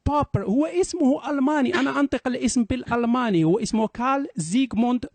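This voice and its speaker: A man's voice: extremely high pitch, fast, no echo. A man debating heatedly, protesting and making sarcastic digs at his opponent in an argumentative manner. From Morocco.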